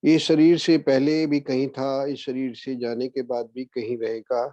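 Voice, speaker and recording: A man guiding a meditation as a spiritual discourse, speaking in a measured way with long pauses. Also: mid-range voice, flat delivery, dark tone, no room sound. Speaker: meditation guide. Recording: dull, lo-fi, dry audio.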